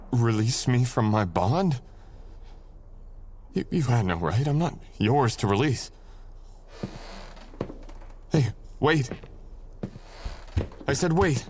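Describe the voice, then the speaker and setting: A man's voice: medium pitch, darkish tone, a little hiss or hum. A man playing a powerful, dangerous shadow being, a smug and possessive boyfriend, in an intimate ASMR audio roleplay with dramatic, teasing delivery. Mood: indignant, urgent.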